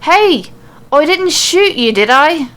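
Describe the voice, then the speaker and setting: A woman's voice: sits high, sing-song, takes it slowly, fairly bright tone, pitched above the performer's own voice, full character voice. A woman voicing a sheepish, wounded woman wanderer in an audio story, rambling and justifying herself. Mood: apologetic.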